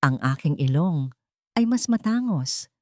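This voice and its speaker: A Filipino woman reading a first-person drama story aloud in a measured, earnest way. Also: deep voice, sing-song, mellow, no echo. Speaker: audiobook narrator.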